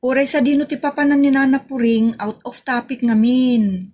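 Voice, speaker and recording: A woman acting a plaintive, talkative Filipino woman in a comedy audio drama, with an Ilocano accent and drawn-out way of explaining. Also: high-pitched, fairly even intonation, darkish tone. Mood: sympathetic, explaining.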